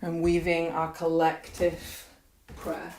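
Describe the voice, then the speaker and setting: A woman yoga nidra teacher leading a guided meditation in a gentle, unhurried, soothing way with long pauses. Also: sits low, monotone, gravelly.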